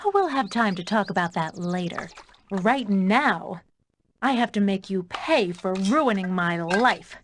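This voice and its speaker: A woman's voice: mid-range voice, lively intonation, measured pace, a little hiss or hum, lightly acted. An actress playing a smug, vengeful young female villain in a video game. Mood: gloating, menacing. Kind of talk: scripted video game acting.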